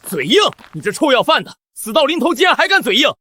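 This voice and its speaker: An actor playing an arrogant young man who scorns beggars, contemptuous and loud. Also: very high voice, very animated, fast talker, a little hiss or hum, heavily acted. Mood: shouting. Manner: contemptuous, shouting. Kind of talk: short-drama acting.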